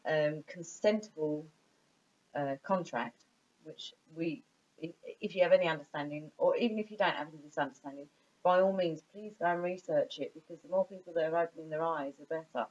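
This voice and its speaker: A woman on a phone call, informally arguing her point in an insistent, halting way and repeating her phrases in a muffled recording. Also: sits low, darkish tone. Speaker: caller.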